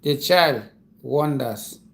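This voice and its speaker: A man with a Nigerian accent hosting a comedy livestream, talking casually and explaining things slowly, repeating himself. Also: mid-range voice, gravelly, fairly bright tone. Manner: slow, repetitive, lecturing explanations.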